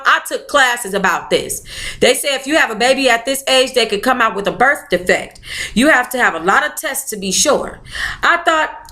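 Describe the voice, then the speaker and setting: A woman hosting a book club, reading a memoir aloud and chatting in a sassy, informal, expressive way. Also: a little high. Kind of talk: audiobook-style reading with casual, chatty asides.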